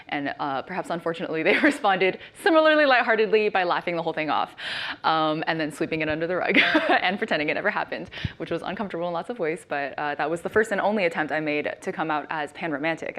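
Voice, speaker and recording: A woman's voice: on the low side, a bit bright. A woman speaking on a panel, light and self-deprecating, laughing often as she talks. Her first language is Shanghainese. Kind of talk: casual panel talk.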